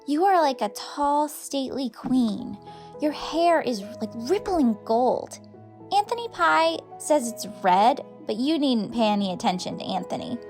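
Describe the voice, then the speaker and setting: A woman's voice: high-pitched, big pitch swings, a little slow, a little mellow, soft background music, heavily acted. A woman playing an adoring schoolgirl who reads a flowery love letter in a gushing, dramatic audiobook style. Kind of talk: audiobook character reading.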